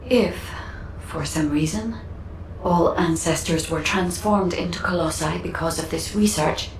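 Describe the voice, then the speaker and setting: Grave female game guide, actress, low voice with echo, formal and deliberate, explaining to a hero. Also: a little hiss or hum, lightly acted. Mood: grave, concerned. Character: composed, analytical female guide briefing a sci-fi hero. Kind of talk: scripted game dialogue, measured exposition. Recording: echoing voice over faint game ambience.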